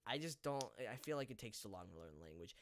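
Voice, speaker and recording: A man's voice: medium pitch, big pitch swings, fast, a little hiss or hum. A man whose first language is Polish hosts a casual live podcast as a voice actor. He rambles and hesitates, with many fillers and restarts. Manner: apologetic, backpedaling.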